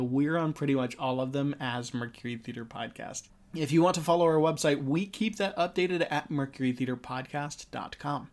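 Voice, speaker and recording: Higher-voiced man hosting a podcast. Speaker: podcast host and interviewer. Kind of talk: podcast interview and scripted outro.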